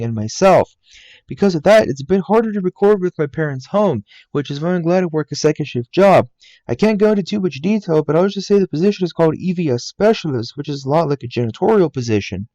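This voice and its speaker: A man's voice: fairly high pitch, lively intonation, fast, mellow, no echo, muffled recording. A man in his twenties from the United States, earnestly narrating a scripted voice-over about himself and his channel from a game room at home. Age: 20s. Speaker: YouTuber. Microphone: laptop mic.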